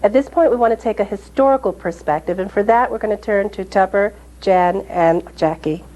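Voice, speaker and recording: A woman from New York introducing herself as an interpreter and presenter, speaking clearly and at a measured pace. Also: mid-range voice, mellow, highs cut off. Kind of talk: recorded panel presentation.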